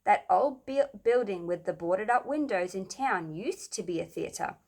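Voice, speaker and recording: A woman voicing an excitable, bossy schoolgirl in a children's storybook, emphatic and dramatic. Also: medium pitch, a little slow. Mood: eager.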